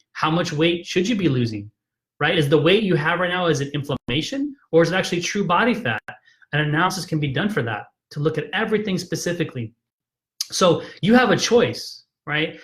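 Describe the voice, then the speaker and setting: A man speaks in a persuasive, emphatic way, often tagging his points with "right?" and restarting his sentences. His voice sits slightly higher than most men's. He sounds frustrated as he urges his viewers in a live video talk. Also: fairly bright tone. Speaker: chiropractor hosting a health video.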